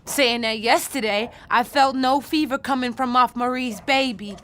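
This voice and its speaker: High-voiced, defiant young American niece. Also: female voice, moderate pace, a bit bright. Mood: accusing. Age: young adult. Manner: accusing, blunt. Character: defiant, wounded young niece with a stubborn conscience. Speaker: actress. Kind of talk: naturalistic audio drama acting.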